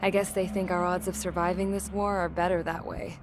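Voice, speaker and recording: Actress doing a tired cynical army mechanic lady, kinda low voice, blunt game cutscene narration, really noisy behind her. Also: flat delivery, a little fast, lightly acted. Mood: jaded, grim. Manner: blunt, jaded, swearing. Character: weary, cynical female military pilot-mechanic from a penal unit. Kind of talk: scripted first-person game cutscene narration. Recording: loud noise under the voice.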